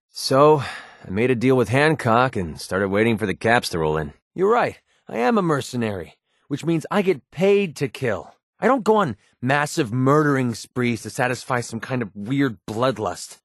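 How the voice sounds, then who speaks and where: A man's voice: medium pitch, no room sound, close to an everyday voice. A male actor voices a young gun-for-hire from a video game, guarded and cynical. He talks in a mid-range male voice with a sardonic, offhand edge, recorded very dry and close. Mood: guarded, businesslike. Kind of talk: game character dialogue, naturalistic acting.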